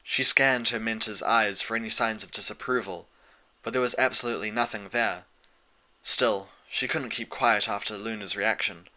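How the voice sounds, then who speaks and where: A man's voice: fairly low pitch, steady tone, normal speed, pushed and bright. A man reading scripted story narration as an audiobook narrator.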